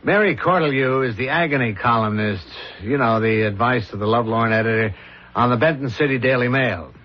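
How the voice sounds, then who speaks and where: An actor as a dry, measured police detective, muffled. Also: male voice, mid-range voice, natural voice. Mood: calm, insistent. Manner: measured, drily matter-of-fact. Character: calm, confident police detective, smoothly insistent interrogator. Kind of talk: old-fashioned radio drama acting. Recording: dull old radio broadcast recording.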